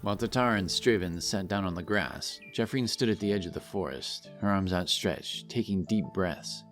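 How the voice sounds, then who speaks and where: Man, deep voice, scripted fantasy narration, storyteller, faint music behind. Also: normal speed.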